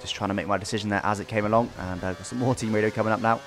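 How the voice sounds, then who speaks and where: A man's voice: lowish voice, steady tone, racing, music in the background. A man giving casual, explanatory commentary over his own racing game race, recapping as he goes.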